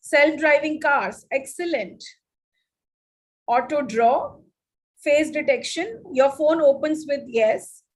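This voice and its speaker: A woman's voice: high voice, slow pace, long pauses, low-quality recording. A middle-aged woman with an Indian English accent, leading a live workshop for teachers and quizzing the room with questions. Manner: lively, addresses the audience with questions. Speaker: teacher trainer.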